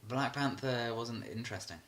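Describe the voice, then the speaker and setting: A man's voice: mid-range voice, normal speed, a bit bright. A young British man co-hosting a podcast in casual chat. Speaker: podcast co-host.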